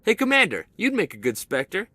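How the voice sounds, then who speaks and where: An eager young corporal. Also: male voice, high-pitched, moderate pace, dry recording, full character voice. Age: young adult. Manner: eager, excitable, boyish. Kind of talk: video game cutscene acting.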